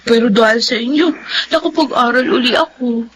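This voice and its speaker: A woman's voice: fairly high pitch, brisk, slight background noise, lightly acted, rough recording. An actress playing a grateful, dutiful young Filipino college girl in a radio drama, respectful and eager. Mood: grateful, earnest. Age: young adult.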